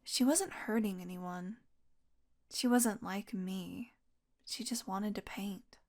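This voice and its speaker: Slow, creaky-voiced wounded vampire woman, played by an actress. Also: mid-range voice, a little mellow. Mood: weary, regretful. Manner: weary, rambling, sardonic, with sighs and breathy asides. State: exhausted, in pain. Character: wounded, exhausted young female vampire, wry and foul-mouthed. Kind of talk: intimate audio roleplay monologue.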